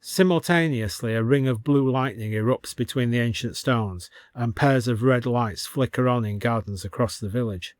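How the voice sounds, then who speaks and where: A man's voice: medium pitch, moderate pace, few pauses, dry recording, studio quality. A man narrating a scripted story as an audiobook reader.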